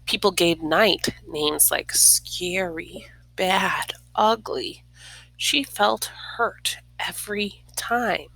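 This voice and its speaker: A woman reading a children's story aloud expressively, with dramatic pauses, hushed near-whispers and casual asides. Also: fairly low pitch, creaky, dry recording, rough recording. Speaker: storybook reader.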